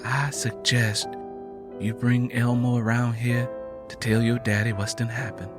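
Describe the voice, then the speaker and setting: A man plays a stoic, tired country mother in an audiobook story. The voice sits at a medium pitch and speaks plainly in folksy rural dialect, with music behind. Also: level tone, a little slow, close to an everyday voice. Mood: hollow, composed. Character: weary, stoic mother of a poor rural family.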